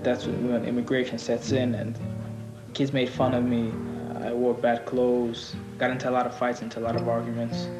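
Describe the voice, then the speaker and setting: A man's voice: mid-range voice, monotone, normal speed, poor audio. A man, a boxer telling his story reflectively in a documentary interview. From Odessa, Ukraine and Brooklyn, New York. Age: 20s. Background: plucked guitar music.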